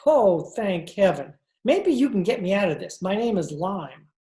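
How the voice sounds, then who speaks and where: A man's voice: high voice, lively intonation, moderate pace, warm tone. A man playing a wry, roguish American adventurer in an old-time radio drama, casually telling his story. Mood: hopeful. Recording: roomy home remote recording.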